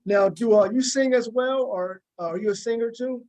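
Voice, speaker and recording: A man's voice: very high-pitched, normal speed, a little mellow, slight background noise. A man hosting a casual interview over a video call, often restarting and repeating his phrases. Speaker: interviewer, show host.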